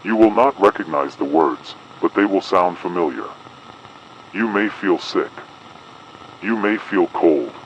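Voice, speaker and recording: A man playing an official announcer delivering a measured, formal, ominous emergency advisory in an analog horror broadcast. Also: deep voice, lively intonation, lo-fi sound, a little hiss or hum. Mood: grave, ominous. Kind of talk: scripted analog horror public broadcast narration.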